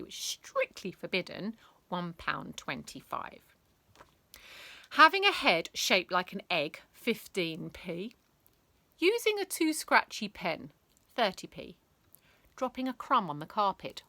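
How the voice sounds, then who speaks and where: A woman reads a children's story with a bright, forceful voice and a very lively, swooping pitch. She takes her time, leaning theatrically on key words and pausing for drama. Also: dry recording. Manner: theatrical, emphatic, with dramatic pauses and whispers. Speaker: storyteller reading a children's book aloud. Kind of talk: animated bedtime story reading.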